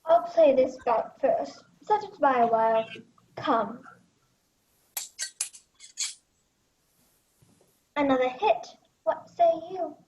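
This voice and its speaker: A woman's voice: high-pitched, expressive, a little slow, a little mellow. A young woman playing a resolute, brooding young prince in a Shakespearean radio play. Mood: confident, sparring. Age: young adult. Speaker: student actor. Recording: muffled, noisy home recording.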